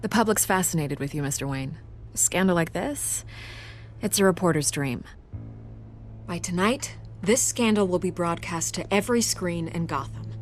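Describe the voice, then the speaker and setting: An actress playing an earnest, persuasive newspaper reporter with an American accent in a video game. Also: female voice, fairly low pitch, normal speed, lightly acted. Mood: confident, urging. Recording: music behind the voice.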